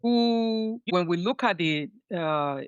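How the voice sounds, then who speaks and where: A man whose first language is Yoruba, hosting a podcast interview and asking questions in a halting way, often restarting his phrases. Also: high voice, expressive, smooth, no room sound, lo-fi sound. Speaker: podcast host. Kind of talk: conversational interview.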